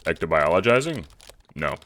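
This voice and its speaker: A jaded teenage boy, acted by an audio drama performer, extremely low and deadpan. He is sarcastic, irritable and quick to swear, over faint noise in a home recording. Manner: deadpan, foul-mouthed. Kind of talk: audio drama character acting.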